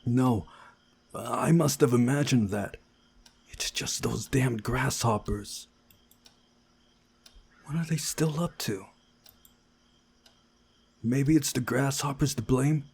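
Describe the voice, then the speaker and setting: A man voicing an anxious, frightened young visual novel hero in a halting, breathy, dramatic way. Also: mid-range voice, darkish tone, voice pushed up, full character voice. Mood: uneasy.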